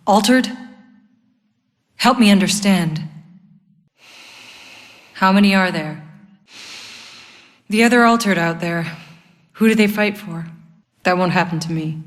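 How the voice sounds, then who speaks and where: A woman performs a battle-worn female soldier from a video game. Her voice sits low for a woman, and she talks bluntly with dry, sardonic wit. She comes across as tough and hardened. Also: no echo, close to an everyday voice. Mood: guarded, questioning. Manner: blunt, wry, swearing. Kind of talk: video game character voice lines.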